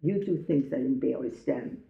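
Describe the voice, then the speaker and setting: A woman's voice: rumbling low, moderate pace, creaky. A woman in her late sixties giving a personal life-story talk to students, conversational and digressive, speaking directly to her listeners. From born in Belgium. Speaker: guest speaker, Holocaust survivor telling life story to students. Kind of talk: personal testimony talk to a school audience. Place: echoey room, live talk to a group. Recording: echoey room, dull muffled sound.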